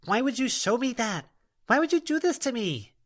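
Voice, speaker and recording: A woman's voice: fairly low pitch, fast talker, voice pushed up, natural voice. A woman voicing a frightened young woman who asks upset, pleading questions in an audiobook.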